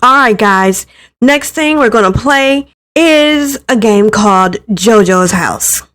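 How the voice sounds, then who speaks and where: A woman's voice: on the high side, animated, slow pace, fairly bright tone. A woman voicing an upbeat male gaming YouTuber who talks casually to his fans in a story reading. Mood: casual, joking.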